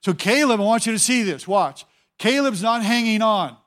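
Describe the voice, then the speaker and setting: A man's voice: high voice, lively intonation, normal speed, fairly bright tone. A man preaching a sermon as a pastor, talking conversationally, repeating his words and often telling listeners to watch.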